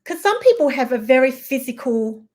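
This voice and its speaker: Hesitant woman from Singapore, fairly high voice. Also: sing-song, normal speed, a little mellow. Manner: hesitant, many fillers and restarts. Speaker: guest. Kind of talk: casual chat.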